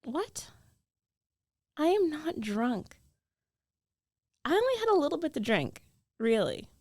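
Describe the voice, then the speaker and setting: A woman's voice: sits high, sing-song, slow pace, a little mellow, dry recording, clean studio sound, heavily acted. A woman acting a jealous, defensive young roommate who is secretly in love, in an emotional, conversational ASMR roleplay. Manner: emotional, conversational, pleading and protesting. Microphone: close studio microphone, dry.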